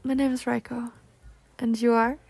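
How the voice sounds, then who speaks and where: A woman's voice: fairly high pitch, flat delivery, moderate pace, vocal fry, darkish tone, slightly rough, no echo. A young woman voicing a polite, bookish, insecure cougar-girl on a date in an ASMR roleplay, speaking formally with flustered hesitations. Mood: polite, nervous.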